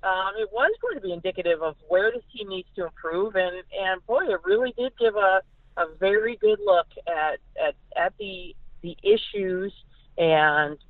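A woman's voice: medium pitch, animated, moderate pace, no room sound. A thin phone-line recording carries an American woman's voice. She is a sports reporter appearing as a guest, giving casual analysis. She speaks emphatically and repeats words to press a point. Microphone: phone call.

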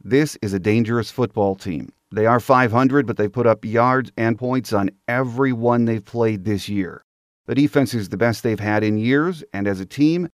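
A man's voice: on the low side, dry recording, clean studio sound. A man hosting a sports podcast, presenting commentary and interviewing a guest. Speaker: podcast host.

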